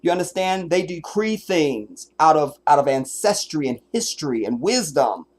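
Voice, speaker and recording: A man's voice: fairly high pitch, expressive, normal speed, a little mellow. Blunt, profane male streamer. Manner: blunt, emphatic, swears often. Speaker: streamer commenting on a clip. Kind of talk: profane reaction commentary.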